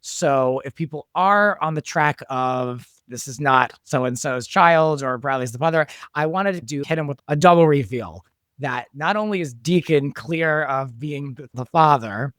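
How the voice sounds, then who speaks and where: Male interview guest, lighter higher register, casual storyteller, dry studio-style sound. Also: a little slow, a bit bright, dry recording. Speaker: guest, show creator and producer.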